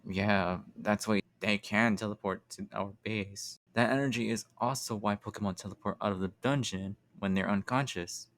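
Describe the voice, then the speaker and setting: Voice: low-pitched, steady tone, normal speed, few pauses, a bit bright. A voice actor playing an earnest, principled young rookie Pokemon explorer in a comic dub, hesitant but ready to protest. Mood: thoughtful, hesitant. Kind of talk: animated comic dub acting.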